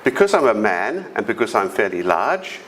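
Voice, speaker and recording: Animated Australian man with a big voice. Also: lowish voice, normal speed, slight background noise. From South Australia. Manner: animated storytelling, acts out quoted voices. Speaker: conference speaker. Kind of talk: storytelling presentation. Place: conference hall.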